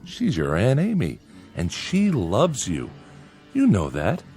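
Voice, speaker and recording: A nervous father speaks with forced cheer in a radio drama. His pitch swings wildly and his slow delivery is soothing and placating, with music behind him. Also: wildly swooping pitch, darkish tone, heavily acted. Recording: music under the voice.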